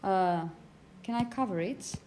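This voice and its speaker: A woman's voice: medium pitch, unhurried. A woman phonetics teacher lecturing to students, explaining and emphasizing example words, with frequent check-in questions. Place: classroom, indoors. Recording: echoey room, background noise.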